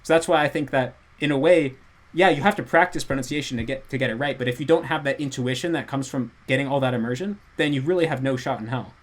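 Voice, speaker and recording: Man, casual guest chat, earnest, animated, explains at length. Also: mid-range voice, quick pace. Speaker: guest language learner.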